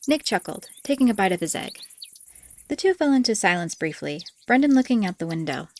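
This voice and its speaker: A woman narrates a scripted story in audiobook style. Her voice sits at an average pitch. The recording carries a faint layer of background noise. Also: very animated, brisk.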